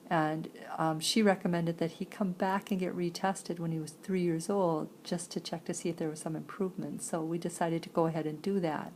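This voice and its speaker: A woman telling a personal story conversationally, in halting phrases, with a creaky voice. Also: fairly low pitch, fairly even intonation, darkish tone, a little hiss or hum, rough recording. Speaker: sign-to-voice interpreter.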